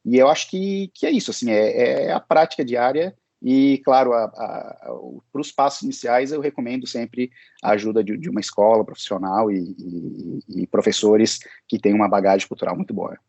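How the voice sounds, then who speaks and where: Husky, hesitant man with a dry sound. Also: mid-range voice, moderate pace, a little mellow, no echo, highs cut off. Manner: hesitant, many fillers and restarts. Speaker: English teacher, guest. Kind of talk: interview answers.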